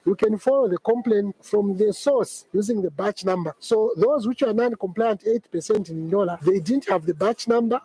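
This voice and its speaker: A man's voice: sits high, dark tone, husky, poor audio. A man giving an explanatory statement as an agency spokesman.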